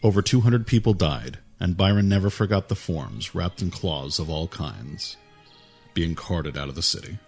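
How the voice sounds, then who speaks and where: A man reading a fantasy story aloud in a calm, even way, with a husky voice. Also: low voice, normal speed, soft background music. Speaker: narrator. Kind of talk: storybook narration.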